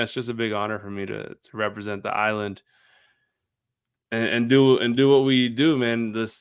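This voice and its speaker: A man's voice: mid-range voice, a bit bright, smooth, dry recording, clean studio sound. Male video creator as show guest, clean resonant mid-range voice, informal conversational delivery. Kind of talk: casual chat.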